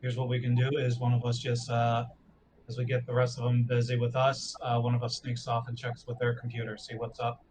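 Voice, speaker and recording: A man's voice: mid-range voice, monotone, a little fast. Guy chatting during a tabletop rpg, mid voice, bit of noise in the background. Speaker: player in a tabletop roleplaying game. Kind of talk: casual game table talk.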